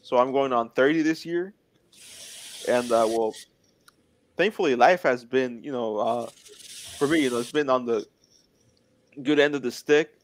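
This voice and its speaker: A man's voice: mid-range voice, measured pace, pauses often, slight background noise. A man in his twenties, a guest in a casual interview, talking in an earnest, plainspoken way. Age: 20s.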